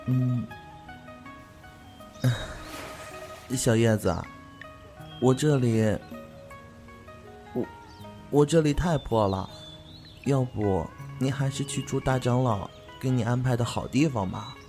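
A man's voice: mid-range voice, a little slow, pauses often, soft background music, close to an everyday voice. An actor playing a cheerful, good-hearted young man who chats eagerly and laughs easily in an audio drama. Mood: flustered. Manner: bright, chatty, laughs easily.

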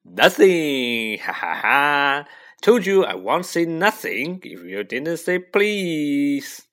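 A man voicing a mischievous poltergeist in a storybook, taunting in a drawn-out, gleeful sing-song. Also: on the high side, very animated, fairly bright tone, exaggerated voice. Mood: smug teasing.

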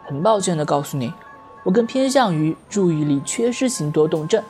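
A woman's voice: fairly low pitch, expressive, normal speed, warm tone, faint music behind, lightly acted. A woman playing a blunt, eccentric, self-assured teenage schoolboy artist in an amateur audio drama, speaking in a bold, matter-of-fact way. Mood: self-assured, insistent.

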